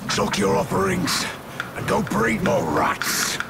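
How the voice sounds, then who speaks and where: A man's voice: sits high, fairly even intonation, takes it slowly, vocal fry, loud music behind the voice, exaggerated voice. A man playing a coarse, bullying rat henchman in a video game cutscene, crude and taunting. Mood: threatening.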